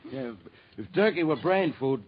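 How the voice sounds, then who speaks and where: An actor playing a gruff, sarcastic police inspector in 1940s radio style. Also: male voice, a little high, animated, moderate pace, crowd noise, natural voice. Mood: grumbling. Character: grumbling police inspector, middle-aged man. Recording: muffled old radio broadcast with faint hiss.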